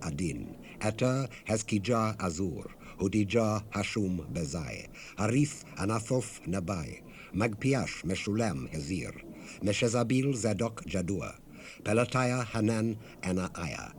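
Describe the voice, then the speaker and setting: Male scripture narrator, lowish pitch, solemn measured delivery, old cassette recording with faint hiss. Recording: hissy unprocessed 1970s cassette rip.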